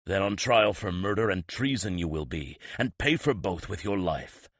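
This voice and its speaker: A man voicing a stern older male weapons master who rasps harshly with oddly inverted phrasing. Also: deep voice, very animated, normal speed, no echo, exaggerated voice. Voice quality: rasping, clipped inverted phrasing.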